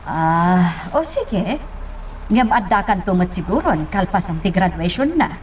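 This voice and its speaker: A woman's voice: lowish voice, sing-song, a little slow. A woman acting a mother in a radio drama, speaking in everyday family conversation. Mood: agreeable. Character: mother planning her daughter's graduation party. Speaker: actor. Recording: distant mic, faint noise.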